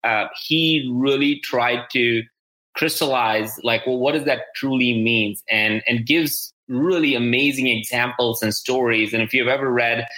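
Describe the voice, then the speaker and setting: A man's voice: mid-range voice, unhurried, pushed and bright, rough recording. A man hosting a business podcast, talking casually and enthusiastically, often repeating words as he goes. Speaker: podcast host.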